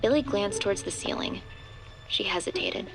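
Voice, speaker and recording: A woman's voice: mid-range voice, moderate pace, natural voice, poor audio. A woman reading scripted narration for a horror audio drama. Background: background music.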